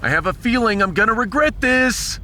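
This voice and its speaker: An actor playing an affable, battle-tested starship captain with a wry, informal, expressive manner in a science fiction audio drama. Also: male voice, high voice, fairly quick, slight background noise, exaggerated voice. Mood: uneasy.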